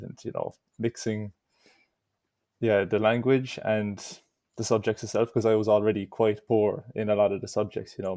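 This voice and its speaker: A man's voice: fairly low pitch, mellow, no echo. A young Irish man answering interview questions in an easygoing way, with lots of "you know". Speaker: interview guest.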